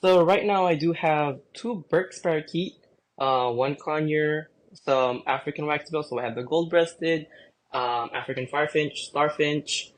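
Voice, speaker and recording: A young man from the Philippines, a podcast guest talking about his birds in a relaxed, rambling way with lots of fillers. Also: on the high side, unhurried. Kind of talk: casual chat.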